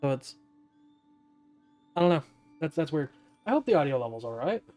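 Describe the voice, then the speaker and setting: Male gaming creator, slightly high voice, rambling casual play-along commentary, thinking aloud. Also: big pitch swings, normal speed, a little mellow, soft background music. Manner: rambling, self-interrupting.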